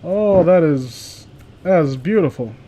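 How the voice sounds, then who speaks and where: A man hosting a casual group stream, talking in a rambling, excitable way. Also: fairly high pitch, takes it slowly. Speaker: host of a live group stream. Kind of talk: casual chat.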